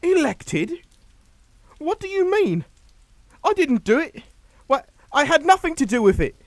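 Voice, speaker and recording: Panicky young nitwit son, radio play, high whiny stammer, wildly varying intonation. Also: male voice, very animated, a little slow, no room sound, exaggerated voice. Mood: panicked, indignant. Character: panicky, whining, dim-witted young English son. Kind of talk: radio drama acting.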